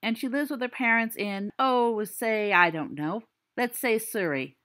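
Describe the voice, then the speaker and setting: A woman's voice: fairly high pitch, unhurried, fairly bright tone. A woman voicing a cocky teenage boy who tells a spooky story in a theatrical, teasing way.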